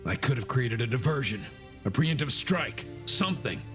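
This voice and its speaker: A man's voice: mid-range voice, expressive, moderate pace, fairly bright tone, natural voice. Stern male officer acted by a man, mid-range voice, weary and self-blaming, gives clipped orders, music underneath. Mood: regretful, weary. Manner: grave, clipped, commanding. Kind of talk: animated motion-comic drama acting.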